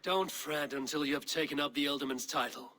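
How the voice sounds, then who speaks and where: An actor playing a level-headed Viking leader in a video game, speaking with firm, measured authority. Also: male voice, a little high, pushed and bright. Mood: stern. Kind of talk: dramatic game cutscene acting.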